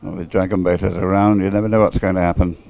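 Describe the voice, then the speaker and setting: A man's voice: low-pitched, level tone, fast talker, vocal fry, warm tone, no room sound. A British man giving casual, unscripted live sports commentary in a hesitant, rambling, observational way. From United Kingdom.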